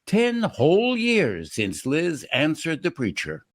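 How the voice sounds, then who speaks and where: A man's voice: on the high side, wildly swooping pitch, takes it slowly. A man announcing and narrating in theatrical old-time radio style, reading from a script.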